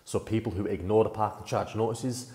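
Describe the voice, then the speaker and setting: A man's voice: lowish voice, fairly even intonation, fairly quick, warm tone. A young man presenting a business service in a plain, practical, informal way over slides. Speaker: company presenter. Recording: echoey room with long reverb.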